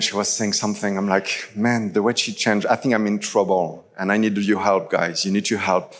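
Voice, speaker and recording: A man in his thirties, originally from France, with a French accent, preaching a chatty live sermon full of fillers. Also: lowish voice. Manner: casual, chatty storytelling with many fillers. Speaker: pastor. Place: large church hall. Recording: live church recording with long hall reverb.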